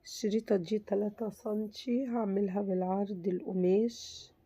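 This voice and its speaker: A woman with an Egyptian Arabic accent, hoarse from a cold, casually talking through a simple craft tutorial and reassuring viewers that it is very easy. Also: mid-range voice, little pitch movement, normal speed, mellow. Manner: chatty, reassuring, repeats "very easy". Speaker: crafts channel host.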